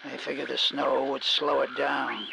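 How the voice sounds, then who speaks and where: An actor playing a folksy, jittery American survivor in a video game, talking over a two-way radio with stammers and homespun phrasing. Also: male voice, medium pitch, level tone, unhurried, vocal fry. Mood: somber, wistful. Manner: folksy, drawling small-town turns of phrase, stammering. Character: folksy, jittery survivor named Rusty, stranded among the undead. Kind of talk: video game cutscene acting. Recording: noisy walkie-talkie radio transmission.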